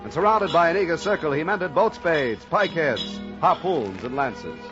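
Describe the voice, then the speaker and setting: A man's voice: on the high side, lively intonation, moderate pace, natural voice. A man narrating an old-time radio drama in a literary, declamatory style. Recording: dull vintage radio recording. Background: loud music.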